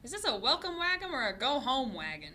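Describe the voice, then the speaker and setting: A woman's voice: high-pitched, big pitch swings, a little fast, sharp tone, heavily acted. A woman playing a cynical, bitter ex-superhero in her twenties, sarcastic and blunt, in a comedic table read. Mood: defiant.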